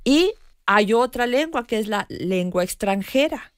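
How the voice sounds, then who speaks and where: A woman in her fifties talking in a measured, reflective way as a radio guest. She pauses often and searches for the right word. The studio sound is dry and close. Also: fairly high pitch, animated, smooth, no room sound. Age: 50s. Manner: thoughtful, measured, with frequent pauses and hesitations. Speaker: guest expert on language learning. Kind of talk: radio conversation.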